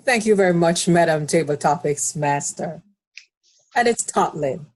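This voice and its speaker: A woman with a Jamaican accent giving an impromptu speech on an online call, slow and savoring in her descriptions. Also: fairly low pitch, lively intonation, a little mellow. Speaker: Toastmasters speaker. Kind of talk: impromptu table topics speech. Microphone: online video call.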